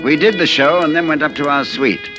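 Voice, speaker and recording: Male actor for betrayed husband, old radio thriller: brooding, calculating, deliberate, very expressive range, music underneath. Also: fairly high pitch, lightly acted. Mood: brooding, bitter. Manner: brooding, deliberate confessional delivery with dramatic pauses. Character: betrayed husband, stage performer, bitter, quietly calculating narrator. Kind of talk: old-time radio suspense acting. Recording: vintage radio broadcast recording with music.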